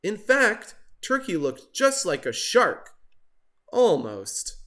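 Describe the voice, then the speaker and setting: Male children's storybook narrator: expressive, unhurried, with slightly high, swooping pitch. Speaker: storybook reader.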